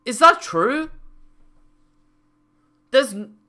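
A man's voice: extremely high pitch, very animated, drawn-out words, pushed and bright. A man in his twenties reacting casually on a stream, bantering loosely and profanely with his chat over a headset mic. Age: 20s.

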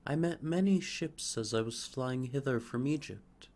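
A man voicing a well-travelled male stork who speaks in a measured, stately way. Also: medium pitch, darkish tone, lightly acted. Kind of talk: storybook reading.

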